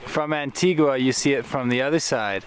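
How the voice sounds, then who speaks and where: A man's voice: medium pitch, moderate pace. Young male travel vlogger, noisy outdoor audio. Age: young adult. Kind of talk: casual vlog talk. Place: outdoors on a windy mountainside. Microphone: handheld camera at arm's length.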